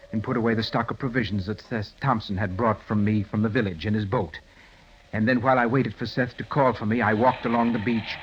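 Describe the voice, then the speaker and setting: An American actor playing a fussy forty-year-old professor, precise and bookish with suppressed agitation, in 1940s radio drama style. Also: male voice, mid-range voice, natural voice. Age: 40s. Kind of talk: 1940s radio acting, first-person narration. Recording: muffled, hissy 1940s radio recording.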